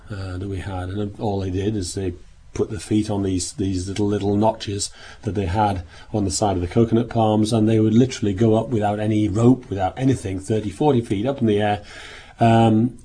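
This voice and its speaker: Hoarse guy preaching, voice nearly gone, low and mellow, rambles a lot. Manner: rambling, many restarts and fillers. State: hoarse, nearly losing his voice. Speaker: minister. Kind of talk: sermon opening with travel account. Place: indoor meeting room.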